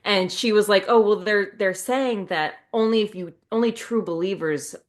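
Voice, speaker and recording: A woman's voice: medium pitch, moderate pace. A middle-aged woman chatting casually as a podcast host, retelling things in a wry, self-mocking way with quoted dialogue.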